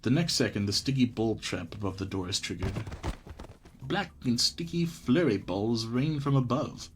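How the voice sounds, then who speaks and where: A man's voice: medium pitch, animated, moderate pace, slight background noise. A man live streaming a game, chatting casually with some swearing and reading the on-screen text aloud. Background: game music.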